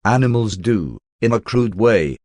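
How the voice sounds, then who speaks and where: Low-pitched man narrating, dry sound. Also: animated, measured pace, darkish tone, no echo. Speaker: audiobook narrator. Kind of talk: scripted narration, reading a spiritual text.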